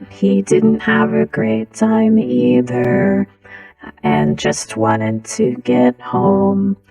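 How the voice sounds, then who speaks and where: A woman's voice: fairly high pitch, vocal fry, mellow, distant mic. A woman telling a casual, humorous personal story, her voice run through a voice changer. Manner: slow, giggly delivery through a voice changer. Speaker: storyteller, content creator.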